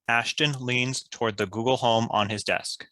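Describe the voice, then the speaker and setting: Bright, dry male script narrator. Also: medium pitch, steady tone, normal speed, no echo. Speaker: stage-direction narrator. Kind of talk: scripted narration, reading screenplay directions.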